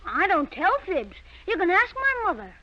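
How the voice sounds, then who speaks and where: A woman's voice: extremely high pitch, sing-song, moderate pace. A woman acting the part of a sulky, stubborn young schoolboy in an old-time radio drama. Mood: sulky denial. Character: defiant, fibbing young schoolboy. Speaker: radio actor. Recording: dull, lo-fi vintage radio recording.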